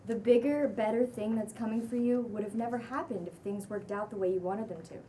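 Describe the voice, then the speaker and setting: A calm guru in their thirties played by a woman gives earnest, measured motivational talk, friendly but stern. The tone is mellow and soft-edged, picked up from a distance with faint room noise. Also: on the high side, natural voice. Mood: urging, reassuring. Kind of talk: cold table read of a pilot script.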